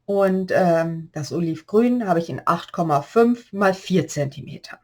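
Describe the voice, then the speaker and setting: A woman with a slight cold-hoarse voice, chatting through a step-by-step paper-craft tutorial and explaining the measurements as she works. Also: on the low side, lively intonation, measured pace, darkish tone. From Germany.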